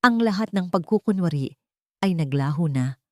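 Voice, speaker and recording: A woman's voice: lowish voice, expressive, moderate pace, no room sound, studio quality. A woman narrating a scripted audio story in a dramatic storytelling style.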